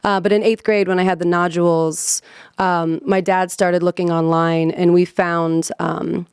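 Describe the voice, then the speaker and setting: Smooth-voiced woman telling stories animatedly. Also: fairly low pitch, a little slow, no echo, clean studio sound. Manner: animated, laughs often, many fillers. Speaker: guest, professional vocalist. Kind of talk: casual storytelling in a podcast interview.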